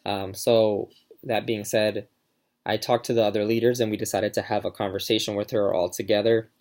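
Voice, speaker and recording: A man's voice: lowish voice, little pitch movement, moderate pace, darkish tone. A young man giving a casual class presentation, talking in a hesitant way with many fillers and restarts. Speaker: student presenter.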